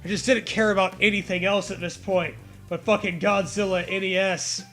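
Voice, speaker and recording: A man's voice: high voice, steady tone, normal speed, a bit bright, soft background music. A man reading a story aloud in a comedic way, with mocking, profane casual riffing.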